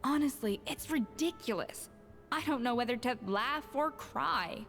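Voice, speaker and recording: A woman's voice: high voice, animated, unhurried, fairly bright tone, big-room sound, faint music behind, exaggerated voice. A woman playing an exhausted, exacting young chef in a video game, speaking with weary, dramatic precision. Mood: exasperated. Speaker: game character.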